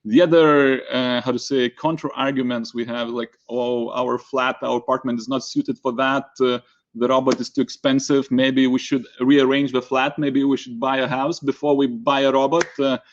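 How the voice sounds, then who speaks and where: A man moderating a panel discussion informally, speaking in a halting, hesitant way. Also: mid-range voice, flat delivery, dull audio.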